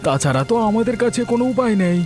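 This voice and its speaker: Man acting a very high-voiced, put-upon village husband. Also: lively intonation, racing, a little mellow, faint music behind. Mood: complaining. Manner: pleading, complaining. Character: poor, put-upon village husband and son-in-law, plaintive. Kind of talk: Bengali folk-tale cartoon dialogue.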